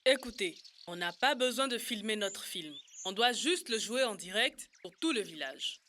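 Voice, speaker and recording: A woman's voice: mid-range voice, expressive, normal speed, pushed and bright, a little hiss or hum. A woman voicing a proud, earnest, brainy little boy in an educational cartoon. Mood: confident. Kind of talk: dubbed educational cartoon acting. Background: music.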